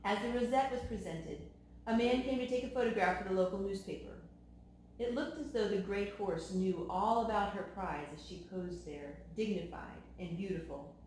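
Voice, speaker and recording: A woman's voice: mid-range voice, moderate pace, darkish tone. Echoey storytelling woman reading aloud. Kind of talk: expressive children's storybook read-aloud. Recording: very echoey room, faint noise.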